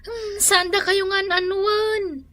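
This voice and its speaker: An actress playing a plaintive, exasperated grown daughter in a comedy radio drama, with an Ilocano accent. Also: female voice, very high voice, little pitch movement, slow pace, bright, forceful tone, full character voice. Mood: plaintive scolding. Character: plaintive grown daughter scolding her stubborn father.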